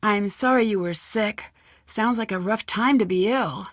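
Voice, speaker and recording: A woman playing an earnest, polite, persistent young detective in a video game, speaking clearly and in a conciliatory way. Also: mid-range voice, measured pace, no echo, studio quality, lightly acted. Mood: sympathetic. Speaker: game protagonist.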